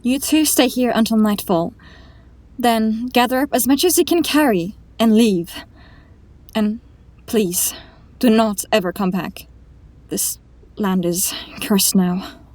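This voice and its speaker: Slow, solemn fantasy heroine played by a woman. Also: fairly high pitch, darkish tone. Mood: calm, firm. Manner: solemn, deliberate, restrained. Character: weary, resolute, grieving young fantasy heroine. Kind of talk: fantasy audio drama acting. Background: music.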